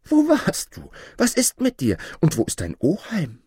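A man's voice: fairly low pitch, wildly swooping pitch, measured pace, slightly rough, no room sound, heavily acted. A man voicing a watchful, practical, doubting mother in a fairy tale, with a questioning delivery. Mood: worried.

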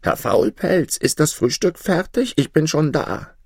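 A man's voice: mid-range voice, big pitch swings, slow pace, dry recording, clean studio sound, pitched above the performer's own voice. A man voicing a small, cheeky young shepherd boy in a storybook, bold and impudent as he calls out. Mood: cheeky, loud.

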